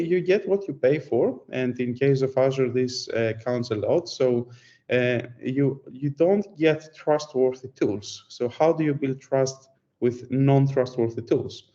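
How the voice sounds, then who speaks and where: Greek-accented male speaker, mellow voice, chatty storyteller, muffled lo-fi recording. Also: mid-range voice. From Greece. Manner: conversational, storytelling, self-deprecating. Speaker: conference speaker. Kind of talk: presentation.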